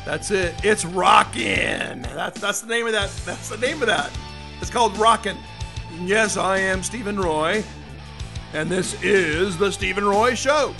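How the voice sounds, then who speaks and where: A middle-aged American man hosting a casual solo radio show, rambling and folksy, with a slightly hoarse voice. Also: sits high, measured pace, soft background music. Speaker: radio and podcast host.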